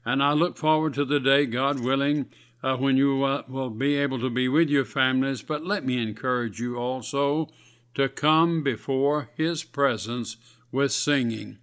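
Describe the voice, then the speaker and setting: A man's voice: mid-range voice, normal speed, a bit bright, clean tone. A man with a scratchy voice, preaching earnestly on a radio sermon broadcast in a folksy, exhorting way.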